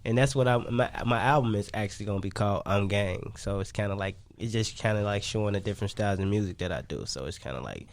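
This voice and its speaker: A man's voice: lowish voice, fast, creaky, hardly any pauses. A man with a Chicago accent chatting casually as an interviewed music artist, using slang and frequent tag phrases. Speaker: recording artist being interviewed.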